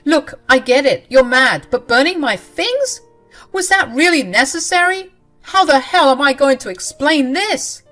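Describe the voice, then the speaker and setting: A woman voicing a furious husband caught cheating, shouting indignantly. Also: high-pitched, expressive, measured pace, soft background music, voice pushed up.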